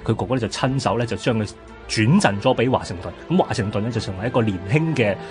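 A man's voice: mid-range voice, quick pace, faint music behind. A man with a Hong Kong Cantonese accent, narrating history conversationally as a radio co-host.

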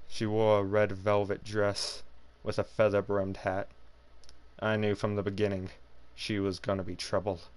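A man voicing a world-weary fifties noir private detective, narrating slowly in a low, subdued, gravelly voice. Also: close to an everyday voice. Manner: slow, brooding hardboiled narration.

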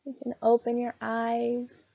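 A woman narrating a scripted guided meditation in a soothing, gentle, unhurried manner. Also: fairly high pitch, monotone, gravelly, dull audio.

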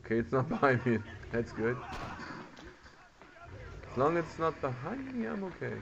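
Guy muttering thru his gameplay, pitch jumps all over, dull lo-fi mic w some noise. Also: sing-song, normal speed, creaky. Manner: reacts aloud, muttering to himself. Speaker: gamer. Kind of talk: casual gameplay commentary. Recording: muffled lo-fi mic, background noise.

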